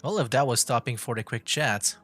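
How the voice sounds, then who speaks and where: A man's voice: medium pitch, fairly quick, fairly bright tone. A man doing casual, rambling livestream commentary on a game, with an amused manner. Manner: rambling, amused, given to chuckling. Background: faint game music.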